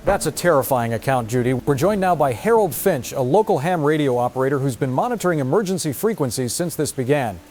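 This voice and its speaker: A man's voice: medium pitch, animated, fast talker, hardly any pauses, darkish tone, slight background noise, close to an everyday voice. A man playing a grave, formal news anchor in a scripted disaster newscast drama. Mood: grave, urgent. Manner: formal, solemn.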